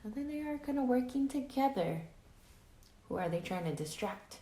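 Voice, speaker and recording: A woman reading a children's picture book aloud expressively, with dramatic emphasis and hushed asides, pausing to question young listeners like a teacher. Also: high voice, lively intonation. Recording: roomy echo with faint background noise.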